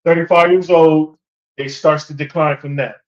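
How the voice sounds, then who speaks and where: A man's voice: a little high, steady tone, moderate pace. A man chatting and debating casually as a talk show panelist, often hesitating and repeating himself. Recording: echoey room, dull lo-fi sound.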